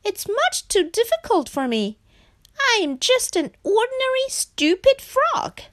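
A woman's voice: very high voice, very animated, unhurried, fairly bright tone, no echo, studio quality, pitched above the performer's own voice, heavily acted. A woman voicing a small, eager male storybook frog who is easily downcast, in a plaintive, childlike manner. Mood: sad, self-pitying.

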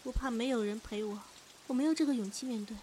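High, soft, sorrowful young woman, acted. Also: fast talker, slight background noise, close to an everyday voice. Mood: sad, pleading. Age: young adult. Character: guilt-ridden, lovelorn young woman, fragile and pleading. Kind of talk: Chinese audio drama acting.

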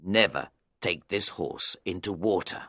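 A woman's voice: a bass voice, unhurried, fairly bright tone, no room sound, clean studio sound, pitched below the performer's own voice, exaggerated voice. A woman voicing a sly, smiling male magician in a storybook reading, with a knowing, teasing manner.

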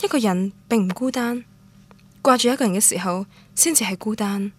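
A woman's voice: mid-range voice, normal speed, a little mellow, slightly rough, natural voice. An actress with a Guangdong Cantonese accent playing a sentimental, lovelorn young woman narrating her romance in a radio drama. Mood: wistful. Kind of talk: radio drama inner monologue.

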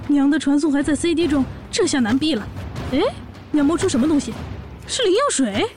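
An excitable young orc wife acted by a woman speaks in a high voice, full of admiration, half narrating and half chatting. Music plays clearly behind this fantasy audio drama. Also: fairly quick. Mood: excited.

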